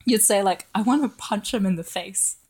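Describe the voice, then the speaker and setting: A woman's voice: mid-range voice, moderate pace, darkish tone, slightly rough. A young woman co-hosting a podcast in casual chat, playful, laughing a lot and acting out quotes. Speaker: podcast co-host.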